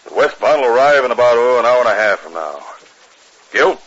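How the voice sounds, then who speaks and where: An actor playing a commanding, impatient, scheming outlaw gang leader in a 1950s radio western. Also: male voice, medium pitch, lively intonation, a little fast, a bit bright, husky. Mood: giving orders. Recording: noisy, muffled 1950s radio recording with clicks.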